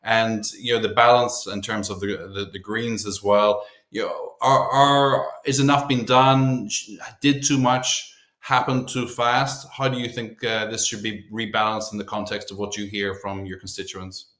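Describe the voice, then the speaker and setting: A man with a low voice moderating a panel, recorded with a roomy echo. He puts probing, conversational questions to the speakers and draws them out. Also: steady tone, normal speed, a bit bright.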